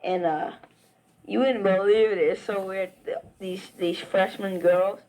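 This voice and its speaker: A man's voice: sits high, fairly even intonation, unhurried, a little mellow, poor audio. A teenage boy from Virginia with a Southern accent, chatting rambly to a friend back home in a spoken letter, full of "uh" and restarts. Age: teens. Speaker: high school wrestler.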